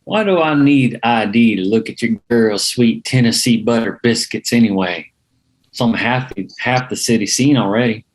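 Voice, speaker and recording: Guy playing cocky trash-talking club patron, medium pitch. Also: normal speed, close to an everyday voice. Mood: brash, teasing. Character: brash, teasing male club patron, former athlete. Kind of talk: table read of a drama screenplay.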